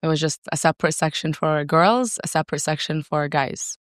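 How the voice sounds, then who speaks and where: Smooth, low-pitched woman in casual conversation. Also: fairly quick, dry recording, clean studio sound. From raised in Saudi Arabia. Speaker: podcast guest, entrepreneur. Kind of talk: casual interview talk.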